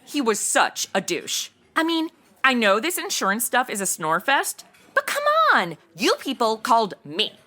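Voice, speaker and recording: Bubbly young female novice seller, higher-pitched, extremely swooping delivery, slangy, flippant, audio comedy. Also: big pitch swings, moderate pace, sharp tone, full character voice. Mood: flippant, gossipy. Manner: flippant Valley-girl slangy chatter. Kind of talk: comedy audio drama acting.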